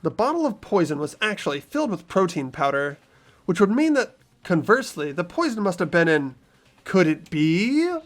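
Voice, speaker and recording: Playthrough host, animated voice, joking and swearing, faint background music. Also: mid-range voice, moderate pace. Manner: lively, swears and laughs during banter. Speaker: host and player narrating the game. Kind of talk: narrating a game and joking with friends.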